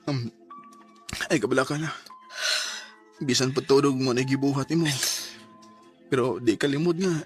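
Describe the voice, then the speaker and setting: A man's voice: mid-range voice, moderate pace, long pauses, soft background music, close to an everyday voice. A man acting a hurt but forgiving young son in a radio drama, earnest and emotional, with a Cebuano accent. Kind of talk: radio drama acting and first-person narration.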